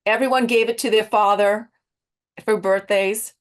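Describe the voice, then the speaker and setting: A woman's voice: medium pitch, steady tone, normal speed. A woman with a Boston accent interviewing a guest, chatty and laughing often, often restarting her sentences. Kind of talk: casual interview with a quiz.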